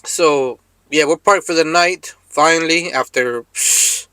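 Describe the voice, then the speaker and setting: An American man with a slightly higher-than-average voice talks casually to his viewers like a trucker on a vlog. His delivery is rambling and laid-back, full of street slang. The sound is close and fairly dry. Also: takes it slowly, bright, forceful tone, no echo, low-quality recording. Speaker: trucker vlogger.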